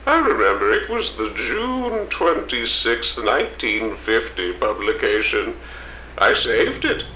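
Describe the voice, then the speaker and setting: A man's voice: mid-range voice, sing-song, slow, sharp tone, roomy sound, muffled recording, a little hiss or hum, exaggerated voice. A man playing a nostalgic old small-town man who rambles and stammers fondly in an audio drama. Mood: wistful. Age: older.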